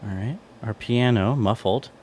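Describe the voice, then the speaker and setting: A man with a fairly low voice talks through his work as a sound designer. He explains things step by step in an informal tutorial style, peppering the explanation with small conversational fillers. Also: a little slow, darkish tone. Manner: explanatory, step-by-step, often trailing into "you know". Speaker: sound designer presenting a walkthrough.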